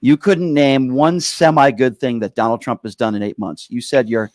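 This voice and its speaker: A man's voice: medium pitch, steady tone, moderate pace, darkish tone, no room sound, studio quality. An American man hosting a political call-in show, arguing insistently, cutting in and stressing key phrases with repeated pointed questions. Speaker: talk show host. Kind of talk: heated political call-in debate.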